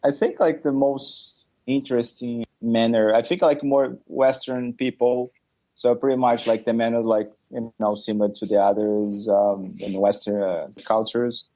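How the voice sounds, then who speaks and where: A middle-aged Brazilian man chatting as a podcast guest, his English full of fillers, restarts and small laughs. His voice is dark and mellow. The recording sounds dull and lo-fi. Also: medium pitch, fairly even intonation. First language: Portuguese. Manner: halting, many fillers and restarts, laughs often. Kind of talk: casual interview chat.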